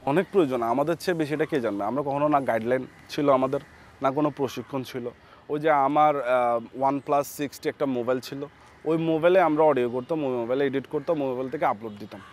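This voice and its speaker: A man's voice: mid-range voice, quick pace. A young man with a Chattogram accent, a comedy content creator answering casually as an interview guest. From Chattogram, Bangladesh.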